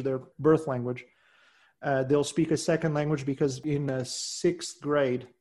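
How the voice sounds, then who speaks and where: Middle-aged male guest, mid-range pitch, earnest and measured, trails off quietly. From Portugal. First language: Portuguese. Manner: earnest and measured, voice trailing off softly at phrase ends. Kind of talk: reflective interview talk.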